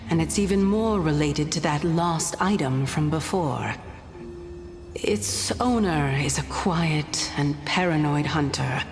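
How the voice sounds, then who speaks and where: A mysterious, gentle titan woman for a video game. She speaks slowly and formally in a lowish voice, wistful and knowing, with long reverb around her words. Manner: measured, formal, theatrical. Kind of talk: scripted video game voice acting. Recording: long reverb, music behind. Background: game music.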